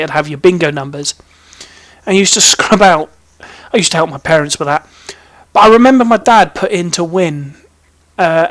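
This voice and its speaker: A young man with an English accent speaks in a notably high voice for a male. He tells personal stories casually, like a vlog host, often breaking off and rambling playfully. The sound is dry, with very little room in it. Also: a bit bright, dry recording. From British, raised in army family in Germany, Hong Kong and England. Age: young adult. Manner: rambling, self-interrupting, playful. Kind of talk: casual chatty personal storytelling to camera.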